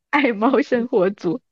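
A woman's voice: fairly high pitch, husky, dry recording, muffled recording. A woman in her thirties in a casual, teasing chat between old friends. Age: 30s. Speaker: podcast guest.

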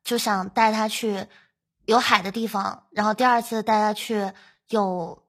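A woman's voice: mid-range voice, steady tone, a little slow, fairly bright tone. A woman hosting a podcast, chatting casually with teasing banter. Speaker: podcast host. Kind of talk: casual chat.

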